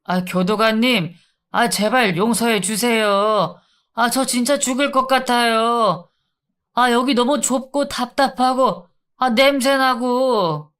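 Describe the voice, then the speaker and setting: A man's voice: very high voice, slow, a bit bright, smooth, voice pushed up, exaggerated voice. A man voicing a brash male inmate in withdrawal who taunts and then begs. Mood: begging. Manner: taunting, then pleading and whining. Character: brash whiny male inmate in withdrawal, narrator's old school bully.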